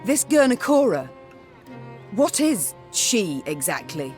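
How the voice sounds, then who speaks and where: A woman's voice: mid-range voice, big pitch swings, slow, darkish tone. A proud queen from a fantasy video game. She questions in formal, commanding phrases with very varied intonation. Music plays behind her. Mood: curious, composed. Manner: formal, regal, pointed. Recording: background music under the dialogue.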